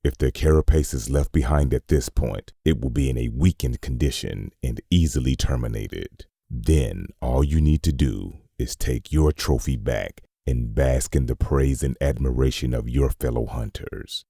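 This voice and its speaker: A man playing a grim, menacing instructor, narrating a comic dub in a measured, solemn, deep and husky voice. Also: dark tone, no echo, studio quality, heavily acted. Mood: solemn, ominous.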